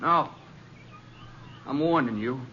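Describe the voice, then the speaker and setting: An actor playing a hot-tempered, defensive young rancher in an old radio western, with agitated, stammering protests. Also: male voice, mid-range voice, slow, husky. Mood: angry, desperate. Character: defensive, hot-tempered young rancher in his twenties, pushed too far. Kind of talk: 1950s radio western acting. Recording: dull vintage 1950s radio recording.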